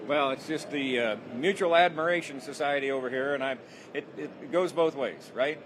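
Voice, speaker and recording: A man's voice: mid-range voice, normal speed. Friendly man over show-floor noise. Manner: friendly, easygoing.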